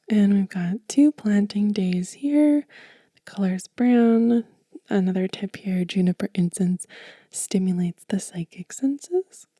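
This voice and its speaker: A woman speaking gently and unhurriedly in a soft, whispery, breathy voice, close to the mic, as an ASMR host showing and telling. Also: mid-range voice, dry recording. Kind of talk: soft-spoken ASMR show and tell.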